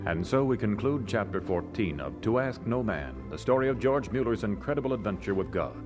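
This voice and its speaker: Animated male radio announcer over organ music. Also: on the low side, brisk. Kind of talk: scripted radio drama narration.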